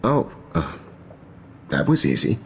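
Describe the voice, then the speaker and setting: A man's voice: low-pitched, big pitch swings, takes it slowly, a little hiss or hum, full character voice. A man voicing a middle-aged art teacher in a video game who hesitates and stammers, mild-mannered but secretly sinister. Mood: flustered.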